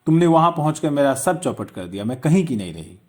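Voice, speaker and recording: A man's voice: fairly high pitch, very animated, quick pace, a little mellow, pitched above the performer's own voice. A man voicing a wronged, tearful young woman in an audio story, plaintive and bitterly reproachful.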